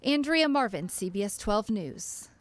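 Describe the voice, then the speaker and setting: A woman reporter narrating a TV news package in a measured voice-over. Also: mid-range voice, expressive, no echo.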